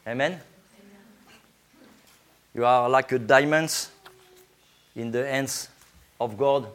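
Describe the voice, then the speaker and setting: A man with a French accent, a native French speaker, preaching informally in halting English as a guest preacher. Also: mid-range voice, fairly even intonation, a bit bright. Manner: halting, searching for words in English. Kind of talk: informal sermon to a congregation.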